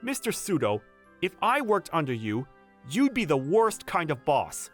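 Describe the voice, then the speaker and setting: A man's voice: on the high side, huge pitch swings, measured pace, fairly bright tone, faint music behind, full character voice. An actor playing an earnest, protective young male manager who narrates a romcom manga dub in first person. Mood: firm, protective. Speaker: narrator and lead character.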